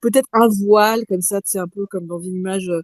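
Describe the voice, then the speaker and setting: A woman's voice: medium pitch, fairly even intonation, moderate pace, no echo, clean studio sound. A woman taking part in a casual live audio discussion, speaking hesitantly with many fillers and a wry tone. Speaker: participant.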